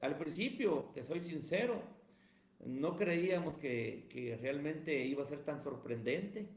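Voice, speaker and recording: Male pastor, high voice, preachy emphatic delivery, roomy echoey sound. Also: takes it slowly. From Guatemala. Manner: emphatic, sermon-like cadence. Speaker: pastor and evangelist, guest. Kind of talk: preaching-style interview answers. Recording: roomy echo.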